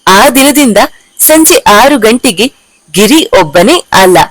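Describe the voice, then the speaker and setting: A woman narrating a children's cartoon story in a slow, deliberate storytelling way. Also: fairly high pitch, animated, dry recording, poor audio.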